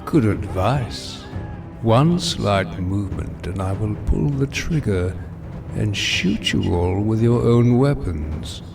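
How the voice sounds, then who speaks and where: A man's voice: fairly low pitch, animated, slow pace, vocal fry, exaggerated voice. An actor playing a commanding, coolly threatening male supervillain in an audio drama. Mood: cold menace. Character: menacing, commanding male supervillain in a metal helmet. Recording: loud music under the voice.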